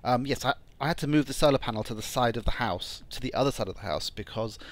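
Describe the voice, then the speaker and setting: Croaky man thinking aloud over gameplay. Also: mid-range voice. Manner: thinking aloud, halting with many ums. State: croaky, phlegmy from a cold. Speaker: gameplay channel host. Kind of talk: casual let's-play commentary.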